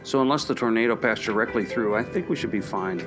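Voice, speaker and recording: A man's voice: on the low side, fast, music in the background, lightly acted. A man playing an easygoing, considerate archaeology professor in a naturalistic radio play, conversational and reassuring. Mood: explanatory.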